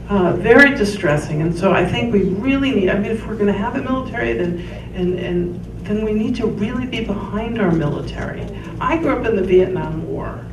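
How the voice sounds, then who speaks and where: A woman in her sixties speaking emotionally and earnestly from the audience at a town hall, as the mother of a soldier. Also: mid-range voice. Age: 60s. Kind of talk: unscripted remarks at a town hall. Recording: echoey room, distant mic, noisy.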